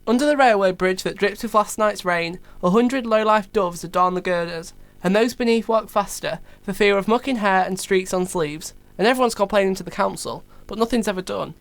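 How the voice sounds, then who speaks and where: A woman's voice: medium pitch, a little fast, hardly any pauses, no echo, close to an everyday voice. A woman playing a wry narrator of a grimy street, reading scripted poetic narration in a radio play.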